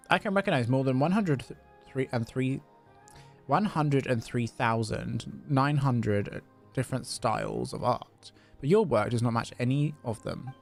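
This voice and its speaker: A man voicing a polite, formal, flattering clockwork robot while reading game dialogue aloud. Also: medium pitch, vocal fry. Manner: stiff, formal, deferential.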